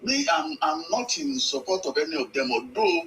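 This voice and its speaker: Lively, bright-voiced Nigerian man calling in. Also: fairly high pitch, moderate pace, a little hiss or hum, poor audio. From Lagos, Nigeria. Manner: repeats phrases for emphasis. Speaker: phone-in caller. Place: calling in to a live show.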